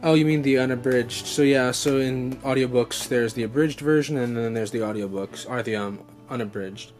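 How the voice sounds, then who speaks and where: A young man talks off the cuff with clear music playing behind him. His voice sits at an average pitch, and he rambles along with a fan's enthusiasm, doubling back to fix his own words. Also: normal speed, a little mellow. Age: young adult. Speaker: vlog host. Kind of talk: casual unscripted unboxing chat. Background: background music.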